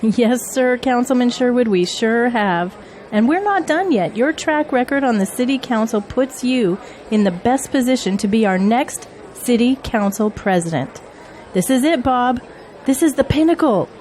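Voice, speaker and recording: A woman's voice: on the high side, animated, normal speed, a little mellow, close to an everyday voice. A woman playing a loyal, efficient political aide in an audio drama, speaking earnestly and deferentially. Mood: admiring, earnest.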